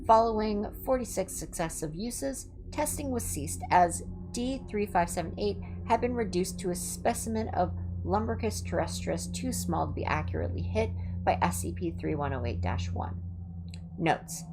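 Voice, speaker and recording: A woman's voice: medium pitch, animated, normal speed, noisy background. A woman hosting a livestream, reading clinical horror reports aloud with informal asides and the odd stumble over hard words. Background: music.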